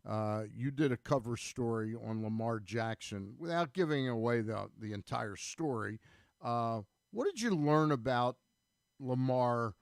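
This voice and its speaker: A man's voice: mid-range voice, no echo. A man chatting casually as a regular co-host on sports radio, close to a studio microphone.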